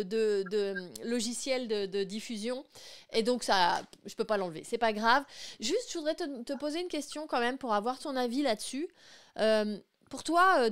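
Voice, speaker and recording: A woman hosting an informal live interview, talking in a loose, halting way and often interrupting herself. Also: fairly high pitch, clear, resonant tone. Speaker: interviewer, live show host.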